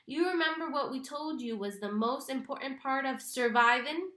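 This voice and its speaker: A woman's voice: high-pitched, moderate pace, a bit bright, echoey room. A woman voicing a bossy, teasing teenage boy who turns to panicked pleading, read aloud in a storybook. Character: teenage boy, bossy older brother and prankster.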